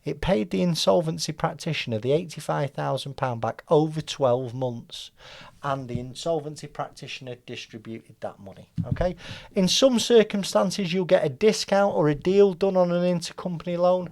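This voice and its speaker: A man's voice: fairly high pitch, normal speed, vocal fry, a little mellow. A British man presenting business advice in an informal, conversational explainer style, often checking in with the listener. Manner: conversational, checks in with "right?" and "okay?".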